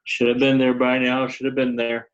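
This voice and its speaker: A man's voice: mid-range voice, completely flat delivery, normal speed, clear, resonant tone, highs cut off, lightly acted. A man at an informal table read playing a frantic, irritable computer programmer who mutters exasperatedly to himself.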